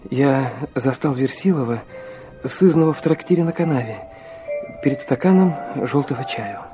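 An actor playing an earnest, intense young son who also narrates in a radio drama. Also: male voice, mid-range voice, animated, normal speed, over music, natural voice. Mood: reflective narration. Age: young adult.

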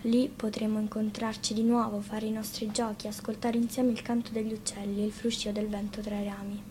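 A woman plays a tender young boy from a storybook. The delivery is gentle and earnest, in a mid-range voice with faint noise behind it. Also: mid-range voice, flat delivery, brisk, lightly acted. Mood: earnest, fond. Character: tender young boy saying farewell to animal friend.

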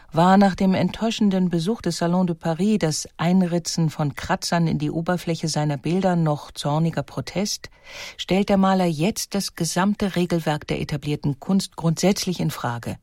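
Woman narrator doing a scripted documentary, warm relaxed tone, composed, dry close sound. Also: on the low side, no echo.

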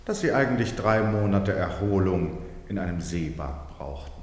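Eccentric judge in a reverberant hall. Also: male voice, deep voice, animated, normal speed, a little mellow. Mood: solemn, declaiming. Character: eccentric, poetic former judge, grandly self-assured. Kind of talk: German radio play acting.

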